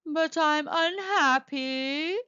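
A woman plays a sorrowful tin man from a storybook. He speaks slowly, in a high, bright voice full of sadness. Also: no echo, dull audio, exaggerated voice.

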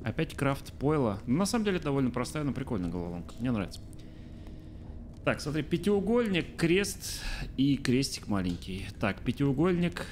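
A man's voice: medium pitch, big pitch swings, moderate pace, a little mellow, a little hiss or hum. A man in his thirties streaming a game from a small room, chatting casually, thinking aloud and answering his chat. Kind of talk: casual let's-play commentary. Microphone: studio mic on arm by face.

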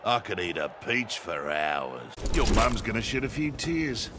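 A man's voice: fairly low pitch, big pitch swings, measured pace, background noise. A man voicing a cocky, greedy cyborg mercenary with an Australian accent, taunting in slang for a video game. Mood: smug taunting. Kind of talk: video game fight banter. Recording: long reverb over loud music.

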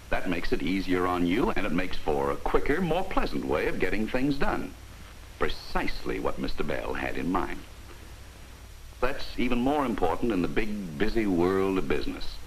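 Deep, animated American narrator man. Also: gravelly, low-quality recording. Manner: wry, folksy, emphatic. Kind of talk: 1950s training film narration. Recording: hissy 1950s film soundtrack.

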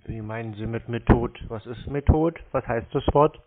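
A man voicing a slow, obliging, literal-minded customer on a prank call, deadpan and creaky. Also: medium pitch, natural voice. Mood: dimly puzzled. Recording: narrow-band phone call.

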